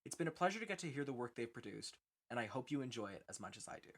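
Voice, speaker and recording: A man's voice: medium pitch, big pitch swings, rapid-fire, fairly bright tone. A man reading a scripted introduction as presenter, formal and earnest. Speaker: presenter introducing a radio play. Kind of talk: scripted announcement.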